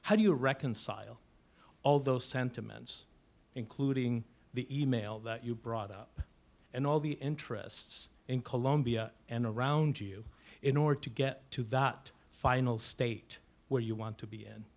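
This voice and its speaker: A man's voice: medium pitch, measured pace, creaky. A man in the audience posing a long, academic question. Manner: academic, wordy. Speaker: audience member. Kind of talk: asking a question.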